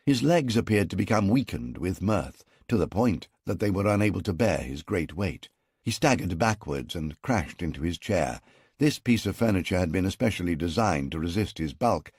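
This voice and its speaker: Brief: male narrator, very deep and husky, expressive pitch, measured and wry. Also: dry recording, clean studio sound. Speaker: audiobook narrator. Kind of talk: first-person audiobook narration.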